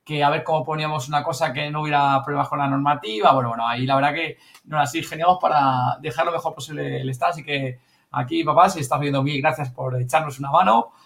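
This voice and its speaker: A man from the Spanish-speaking world of Spain, with that accent and a fairly high-pitched voice. He talks in a casual recap style among colleagues, often tagging on little questions to seek agreement. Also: steady tone, quick pace, creaky, a bit bright. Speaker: member of an audio fiction team recapping events. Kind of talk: casual group chat recap.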